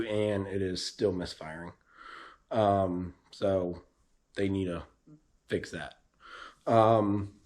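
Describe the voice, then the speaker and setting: Guy w a hoarse worn-out voice, lots of ums, chatting to his viewers. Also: mid-range voice. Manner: rambling, with frequent ums and restarts. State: losing his voice, hoarse from allergies. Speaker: drag racer and vlogger. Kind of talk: casual vlog update.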